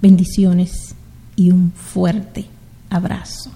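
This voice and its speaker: Woman radio host from the Dominican Republic, unhurried, heartfelt, long reverb on her voice. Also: fairly low pitch, level tone, background noise. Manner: unhurried, heartfelt, greets listeners by place. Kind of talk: slow, warm community radio sign-off.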